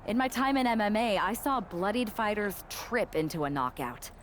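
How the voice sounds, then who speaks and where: A woman's voice: medium pitch, expressive, moderate pace, a bit bright, a little hiss or hum, close to an everyday voice. A woman playing a blunt, wry ex-MMA wrestler and ally in a video game cutscene. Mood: cautious.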